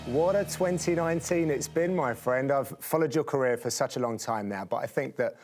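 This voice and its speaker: A man's voice: mid-range voice, brisk, a little mellow, faint music behind. A man interviewing a fighter, a commentator asking friendly, enthusiastic questions.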